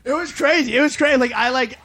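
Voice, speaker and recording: A man's voice: very high-pitched, fast talker, pushed and bright, slight background noise. A man in his late twenties, a band member rambling through a story with lots of fillers. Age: 20s. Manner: rambling, filler-heavy, laughing. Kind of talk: casual backstage chat. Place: backstage green room.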